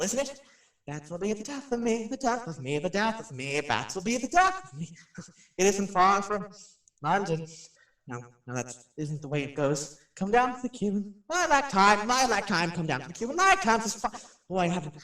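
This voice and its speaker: A man's voice: high voice, pushed and bright, heavily acted. An actor playing a nervous, chatty radio personality who rambles and stammers his way through a haunted house in a radio drama. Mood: forced cheer, rambling. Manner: jittery, stammering, rambling, self-interrupting. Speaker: radio host. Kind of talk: radio drama acting, rambling live monologue. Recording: roomy, distant microphone.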